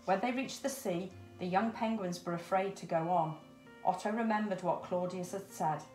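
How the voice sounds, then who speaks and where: A woman's voice: on the low side, normal speed, darkish tone, faint music behind. A middle-aged woman reading a children's story expressively. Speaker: storybook reader. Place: indoors, small room with cupboards. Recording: roomy echo.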